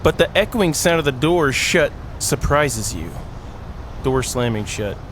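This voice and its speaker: A man's voice: mid-range voice, a little slow, slight background noise. A man hosting a gaming video, reading aloud and breaking off for playful, jokey commentary. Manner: self-interrupting, jokey, animated.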